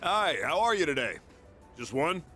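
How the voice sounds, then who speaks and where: A man's voice: on the high side, big pitch swings, normal speed, sharp tone, faint music behind. A man playing a courteous, attentive restaurant server in a video game. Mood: cheery service.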